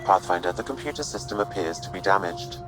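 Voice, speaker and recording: A man playing a calm, formal artificial intelligence companion giving measured reports in a video game. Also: low-pitched, over music. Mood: calm, factual.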